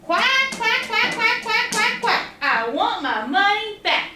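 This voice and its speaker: A woman's voice: very high-pitched, lively intonation, slow, distant mic, heavy background noise, voice pushed up, heavily acted. Woman doing a little duck quacking for his money. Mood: singsong demanding. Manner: chanting quacks in a singsong. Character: small stubborn male duck with a loud quack. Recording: echoey room, off mic.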